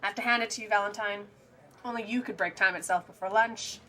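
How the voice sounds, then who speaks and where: An actress playing a detective's exasperated, sharp-tongued companion in an audio drama, speaking bluntly. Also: female voice, medium pitch, fairly even intonation, quick pace, a loud crowd, lightly acted.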